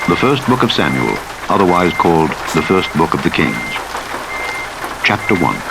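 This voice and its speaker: Deep, animated male scripture narrator over vehicle noise. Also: creaky. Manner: solemn, measured, expressive storytelling delivery. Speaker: audiobook narrator. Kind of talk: scripted dramatic Bible reading. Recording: noisy, with vehicle and rain-like rumble. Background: truck simulator game driving noise.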